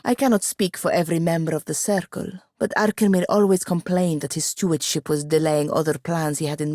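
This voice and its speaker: Young female wizard apprentice, low creaky voice, sardonic, haughty, precise, fantasy game dialogue. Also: dry recording. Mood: measured, candid. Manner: dry, haughty, precise, sharp-tongued. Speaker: video game companion character.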